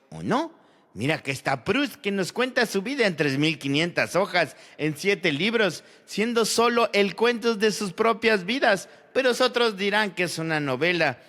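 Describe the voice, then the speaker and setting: A man's voice: fairly high pitch, fairly bright tone. A man playing a logical-minded character who explains storytelling in a scripted educational audio fiction. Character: logical-minded man debating how stories work.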